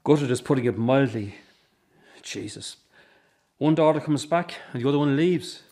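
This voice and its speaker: A man's voice: medium pitch, normal speed, warm tone, lightly acted. A middle-aged man acting in a radio drama as a regretful estranged husband and father, subdued and resigned in manner. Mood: weary, worried.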